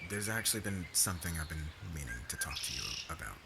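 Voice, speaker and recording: Over clear ambient noise comes a low, lively-pitched young warrior, acted by a man. He is a devoted, laid-back husband in a romance roleplay, teasing and slangy. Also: fast talker, heavily acted. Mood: hesitant, evasive. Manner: casual slangy talk, stammers when flustered.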